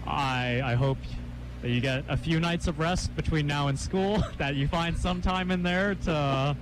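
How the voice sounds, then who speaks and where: A young man hosting a live campus radio interview, chatty and quick with asides and laughs. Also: fairly high pitch, background noise. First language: Cantonese.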